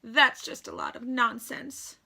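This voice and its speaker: A woman's voice: a little high, huge pitch swings, unhurried, vocal fry, pushed and bright, husky, pitched above the performer's own voice, heavily acted. A woman voicing a small, earnest, emotional young male mouse adventurer in a storybook. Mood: upset, insistent.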